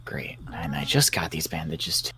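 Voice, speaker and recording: A woman's voice: a bass voice, lively intonation, normal speed, sharp tone, exaggerated voice. A woman acting as a hard-hearted, sarcastic survivor doctor in a zombie apocalypse game roleplay. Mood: annoyed. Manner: blunt, sarcastic, unsentimental.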